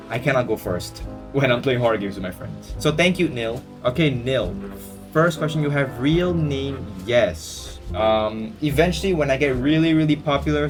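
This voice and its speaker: Chatty young guy over guitar music. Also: mid-range voice. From Singapore. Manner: chatty and joking, with lots of fillers and slang. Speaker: YouTuber answering viewer questions. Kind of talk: casual Q&A chat.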